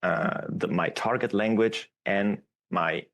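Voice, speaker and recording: A man whose first language is Italian, a podcast guest, explaining his views at length in a steady, reflective way. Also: fairly low pitch, slow. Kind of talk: casual podcast interview.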